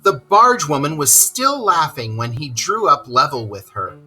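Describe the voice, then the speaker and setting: A man reading a children's story aloud with expressive, dramatic, deliberate narration. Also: fairly high pitch, faint music behind.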